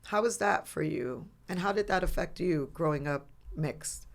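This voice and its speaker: A woman hosting an interview, asking questions casually and backing up her guest with steady affirmations. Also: fairly low pitch, moderate pace. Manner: supportive, frequent affirmations. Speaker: podcast host.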